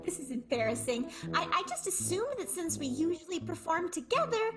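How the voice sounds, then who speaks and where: Woman playing an anxious, high-voiced vaudeville performer. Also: sing-song, normal speed, heavily acted. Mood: awkward, hurt. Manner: hesitant, wordy, melodramatic. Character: hurt, anxious, lovelorn female vaudeville performer. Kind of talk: improvised comic radio soap.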